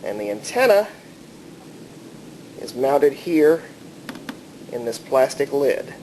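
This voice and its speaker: A man narrating a casual hands-on show-and-tell as a collector, explaining things in a deliberate way. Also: a little high, expressive, darkish tone. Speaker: collector showing a vintage radio. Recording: clearly noisy amateur recording.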